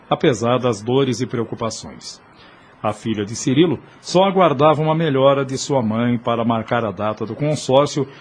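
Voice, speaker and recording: Brazilian man narrating, faint background noise. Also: mid-range voice. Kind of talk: scripted audio drama narration.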